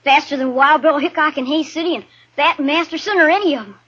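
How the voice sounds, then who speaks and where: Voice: sits high, fast talker, pushed and bright. A performer playing a cocky, eager runaway boy of the frontier with a rural American accent in a 1950s radio western. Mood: awed, boastful. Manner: brash, boastful, folksy. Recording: dull 1950s radio broadcast recording.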